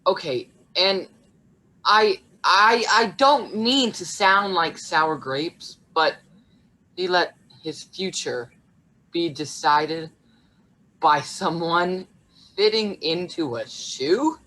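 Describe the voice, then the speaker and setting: Young woman as low, slow-talking wry sceptic. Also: sharp tone, full character voice, poor audio. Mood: sceptical. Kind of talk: comic stage acting over video call.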